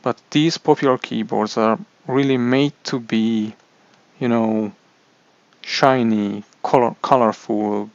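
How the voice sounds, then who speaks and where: Man, Hungarian accent, non-native speaker, halting explainer, gravelly, dull dry sound. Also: mid-range voice, fairly even intonation, dry recording. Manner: halting, many restarts and fillers. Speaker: tech reviewer. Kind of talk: casual explainer monologue.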